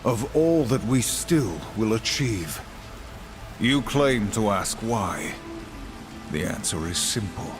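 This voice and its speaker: A man's voice: on the low side, sing-song, slow. An actor playing a big, stern warrior horseman in a video game, with a grave, formal, resolute manner. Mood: solemn, resolute. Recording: music behind the voice.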